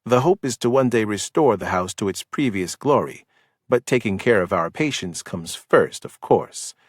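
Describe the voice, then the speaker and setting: A man voicing a male doctor who calmly explains the hospital's history. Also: lowish voice, normal speed, husky, no echo, studio quality. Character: male hospital doctor giving a calm tour.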